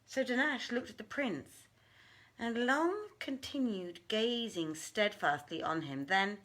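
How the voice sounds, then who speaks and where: A woman reading a classic tale aloud as a dramatic, deliberate and theatrical storyteller. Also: a little high, expressive, a bit bright. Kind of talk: dramatic literary narration.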